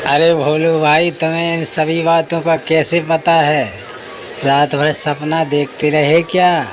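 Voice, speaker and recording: A man's voice: fairly high pitch, flat delivery, measured pace, background noise, natural voice. A man voicing a young priest's disciple in a devotional cartoon, speaking with deference and wonder. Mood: questioning. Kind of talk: Hindi devotional cartoon story acting.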